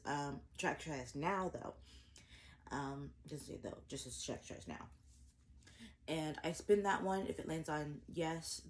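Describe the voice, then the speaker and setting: Young woman, low voice, eat-with-me vlogger; chatty, rambling, self-deprecating. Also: a little mellow, a little hiss or hum. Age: 20s. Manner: rambling, self-deprecating, many fillers and restarts. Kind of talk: casual eat-with-me chat to camera. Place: indoors at home, eating breakfast.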